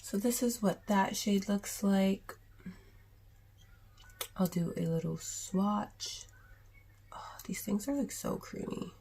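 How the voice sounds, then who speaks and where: A young woman with a sore throat and cough, chatting casually in a raspy voice through a makeup haul vlog. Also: mid-range voice, slow pace, long pauses, dark tone, in a whisper. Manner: chatty, rambling, with many ums and likes. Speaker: beauty vlogger.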